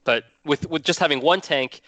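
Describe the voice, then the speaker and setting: A man's voice: a little high, moderate pace, pushed and bright, no room sound. A young man from Norway chatting casually as a guest, rambling and restarting his phrases. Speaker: guest hobbyist. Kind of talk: casual chat.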